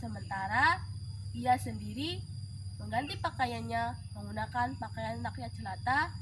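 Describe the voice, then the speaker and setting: A woman telling a folk tale as scripted narration, with dramatic, deliberate phrasing, as a contest storyteller. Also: on the high side. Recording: clearly noisy background.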